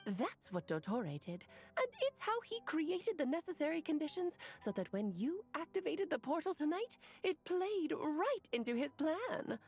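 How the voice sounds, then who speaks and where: A woman's voice: high voice, sing-song, moderate pace, hardly any pauses, soft background music. An actress playing a knowing, chatty, girlish mage explaining things in a video game, in slightly dull sound. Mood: knowing, explanatory. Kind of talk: video game dialogue acting. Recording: dull, band-limited sound.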